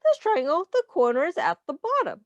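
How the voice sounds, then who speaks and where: A woman teacher reading a picture book aloud to young children, explaining in simple words, asking questions and pausing for answers. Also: very high voice, sing-song, no room sound, lo-fi sound. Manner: addresses children directly, pausing for their answers.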